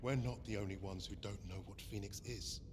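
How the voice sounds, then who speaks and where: An actor playing a resolute British squad leader in a war game, with a British accent and clipped, commanding manner. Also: male voice, fairly low pitch, steady tone, fairly quick, a little mellow, a little hiss or hum, natural voice. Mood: wary. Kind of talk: cinematic war game acting.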